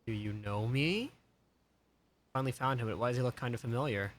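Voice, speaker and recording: A man's voice: medium pitch, fairly quick. A man streaming a video game, giving casual live commentary and questioning things aloud as he plays. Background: game music.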